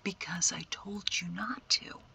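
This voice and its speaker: A woman voicing a calm, wry old mentor in an audiobook, breathy and half-whispered, unhurried and gently chiding. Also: lowish voice, no room sound, rough recording. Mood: quietly stern. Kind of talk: audiobook character acting.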